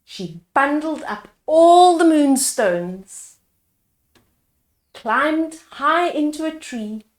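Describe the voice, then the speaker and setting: A woman's voice: high-pitched, lively intonation, extremely slow, echoey room. A woman reading a bedtime storybook aloud expressively, explaining it to young listeners as she goes. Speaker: children's story reader.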